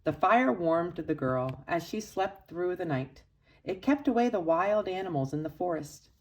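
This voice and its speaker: A woman reading a children's picture book aloud expressively, with dramatic emphasis and pauses for young listeners. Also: on the low side. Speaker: storybook reader for children's church.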